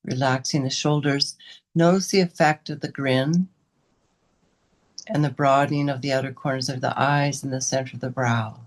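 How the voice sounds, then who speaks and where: A woman guiding a meditation as a teacher, giving unscripted gentle instructions in short, unhurried phrases with pauses between them. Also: a bass voice, fairly even intonation. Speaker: meditation and yoga teacher leading a guided session.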